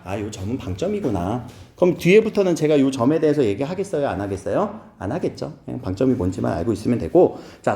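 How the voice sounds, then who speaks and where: Lively male teacher, dark echoey tone. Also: medium pitch. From Seoul. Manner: lively, questioning students, playful asides. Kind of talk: classroom lecture.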